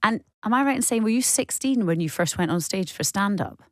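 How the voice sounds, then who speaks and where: A woman's voice: mid-range voice, animated, fairly quick, no echo. A woman radio interviewer chatting casually with a guest in a studio.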